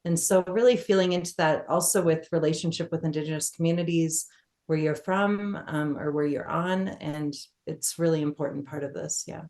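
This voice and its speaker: A woman's voice: low-pitched, fairly even intonation, normal speed, a little mellow. A woman speaking on a panel in a casual, heartfelt way, with frequent fillers. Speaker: panelist, wilderness guide. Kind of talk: panel discussion.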